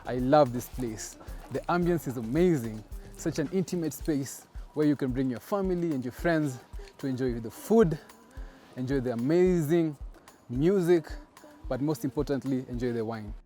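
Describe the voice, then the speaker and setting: A young man with a Kenyan accent hosting his own show as a DJ, talking in energetic, slangy hype. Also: medium pitch, normal speed. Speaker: DJ and show host. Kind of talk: hype vlog presenting. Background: music playing.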